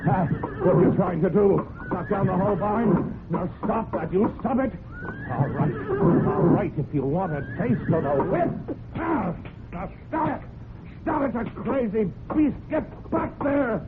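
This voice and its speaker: Surly, drunken horse trainer, noisy old radio. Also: male voice, sits high, lively intonation, measured pace. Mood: angry, alarmed. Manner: rough, folksy, grumbling speech. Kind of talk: 1970s radio drama acting. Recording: narrow-band old radio, loud noise.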